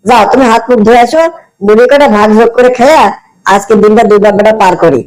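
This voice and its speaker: A man's voice: extremely high pitch, fast, a little mellow, rough recording. A man voicing a kind, devout young village son in a cartoon, earnest and colloquial, with a rural Bangladeshi accent. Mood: gentle. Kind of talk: amateur cartoon voice acting.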